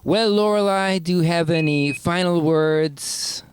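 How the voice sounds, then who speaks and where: A man's voice: fairly high pitch, measured pace, clear, resonant tone, no room sound. A man chatting casually as a comic podcast interviewer.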